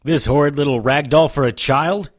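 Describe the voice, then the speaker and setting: An actor playing a sardonic, weary supernatural detective in a radio drama with noir-style narration. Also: male voice, fairly high pitch, normal speed, lightly acted. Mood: wry, reluctant. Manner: sardonic, dry.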